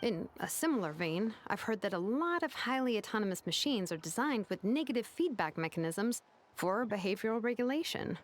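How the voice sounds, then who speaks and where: Animated, brainy young female mechanic voiced by a woman. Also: mid-range voice, a little fast, lightly acted. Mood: explanatory. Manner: casual, analytical, explanatory. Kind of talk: video game voice acting.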